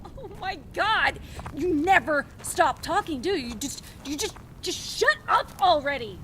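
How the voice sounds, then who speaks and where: A very shrill-pitched, volatile young woman who has taken a captive in an audio drama. She is bitter and jealous, snapping orders and grievances with big swings of pitch, over noisy ambience. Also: lively intonation, unhurried, a bit bright. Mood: bitter fury. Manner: snappish, aggrieved, commanding.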